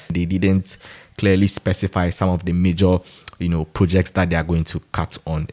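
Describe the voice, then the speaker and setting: A man with a Ghanaian accent shares his opinions as an informal commentator. His voice sits on the low side. He talks conversationally, in a frank and critical manner. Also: a little mellow. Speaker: commentator giving his views on national news.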